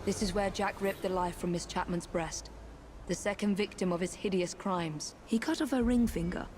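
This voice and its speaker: A woman's voice: medium pitch, normal speed, music in the background, natural voice. An actress playing a vengeful young British woman assassin in a video game, intense and grimly determined. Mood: resolute. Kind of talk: dramatic video game acting.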